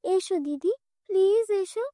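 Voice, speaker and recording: Cartoon casting: a woman as a poor little orphan girl, pleading and earnest, very high-pitched, dry sound. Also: measured pace, warm tone, no echo. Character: poor young orphan girl, pleading and timid, flower seller. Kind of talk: children's cartoon dialogue.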